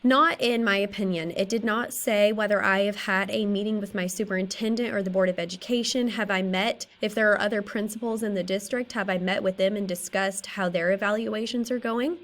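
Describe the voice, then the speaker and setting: A woman in her thirties with a slightly raspy, tired voice, methodically presenting a school case study for a class. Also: mid-range voice, a little fast, few pauses. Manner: steady, methodical, works through a list of questions. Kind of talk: reading a prepared class presentation.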